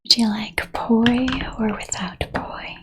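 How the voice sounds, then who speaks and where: Woman acting as calm ghost gate agent, ASMR role-play, breathy half-whisper, slow, gentle, courteous. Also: on the high side. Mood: polite, attentive. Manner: gentle, courteous, unhurried customer-service delivery. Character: calm, polite female ghost from 1935 working as airline gate agent.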